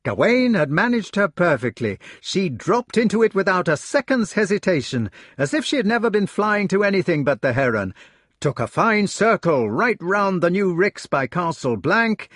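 A man's voice: fairly high pitch, very animated, moderate pace, hardly any pauses, dry recording, clean studio sound, voice pushed up. A man voicing an eager, enthusiastic youngest brother who is a young knight. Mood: excited. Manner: breathless enthusiastic storytelling.

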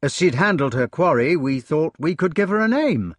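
A man's voice: fairly high pitch, big pitch swings, normal speed, no room sound, clean studio sound, pitched above the performer's own voice. A man voicing an eager, enthusiastic youngest brother who is a young knight. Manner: breathless enthusiastic storytelling.

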